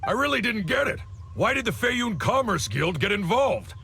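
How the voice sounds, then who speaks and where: An actor playing a cocky, crude bandit boss who talks in slang and swaggers, in a game cutscene. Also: male voice, high voice, big pitch swings, moderate pace, creaky, sharp tone, slight background noise, exaggerated voice. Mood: cornered. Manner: slangy, swaggering, stammers when caught.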